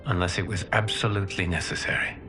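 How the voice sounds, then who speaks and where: Man playing solemn, low-voiced protector god. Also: fairly even intonation, over music, natural voice. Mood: solemn, resolute. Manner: measured, formal, solemn. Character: calm, resolute male god-protector and wise leader. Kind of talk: dramatic video game cutscene acting.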